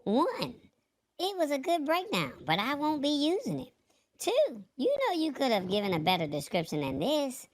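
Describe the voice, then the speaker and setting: Lively, high-pitched woman hosting a show. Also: moderate pace. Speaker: show host. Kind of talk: casual live-show chat.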